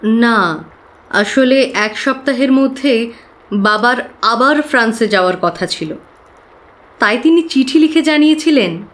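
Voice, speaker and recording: High-voiced earnest young woman. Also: expressive, measured pace, pauses often. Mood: confiding. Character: earnest young working woman, Miss Sutherland, confiding in a detective. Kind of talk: dramatised audio story acting.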